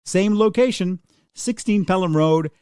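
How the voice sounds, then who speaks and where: A man reads a scripted commercial as an announcer. His voice is a little high for a man and softly mellow, in a very dry recording. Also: a little slow, smooth, no echo. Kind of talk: scripted radio advertisement read.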